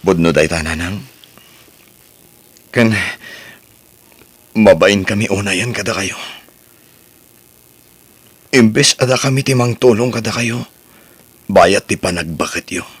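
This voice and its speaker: A man's voice: lowish voice, slow, pauses often, breathy edge, no echo. A man playing a caring cousin and a remorseful grown son in an amateur audio drama.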